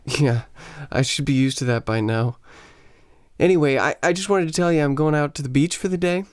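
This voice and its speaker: A man's voice: fairly high pitch, fairly quick, smooth, no room sound, studio quality, natural voice. A man acting a polite, awkward American teacher in a radio play, hesitant and apologetic in his delivery. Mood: polite, casual.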